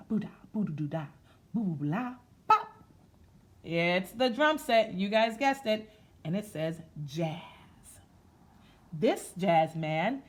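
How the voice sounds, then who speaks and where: A woman hosting a children's storytime, reading aloud in an expressive, sing-song way and speaking to her listeners as friends. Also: medium pitch. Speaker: storytime host reading a picture book aloud. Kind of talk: children's read-aloud with rhythmic sound effects and chatty asides.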